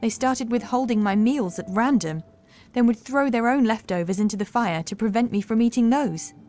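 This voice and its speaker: A woman playing an anxious, dreamy housemaid in a horror audiobook, confiding softly and hesitantly. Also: on the high side, moderate pace, faint music behind, close to an everyday voice. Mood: haunted, fearful. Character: fragile young housemaid haunted by cruel masters, dreamy and anxious.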